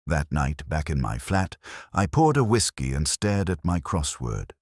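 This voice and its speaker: A middle-aged man reading a scripted first-person detective story in a measured, brooding manner, with deliberate pauses. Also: a bass voice, sing-song, husky, no room sound, studio quality. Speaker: narrator.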